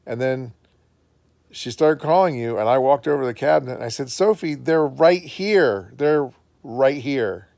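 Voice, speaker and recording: Dad in casual family chat. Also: male voice, medium pitch, normal speed, creaky, darkish tone, no room sound, clean studio sound. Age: adult. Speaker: father.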